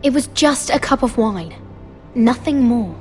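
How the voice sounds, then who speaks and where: Earnest, higher-voiced young handmaiden. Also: female voice, lively intonation, a little slow. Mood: anxious, pleading. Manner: pleading, polite. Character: earnest, anxious young handmaiden, deferential but persistent. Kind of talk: video game drama acting. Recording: soft music behind.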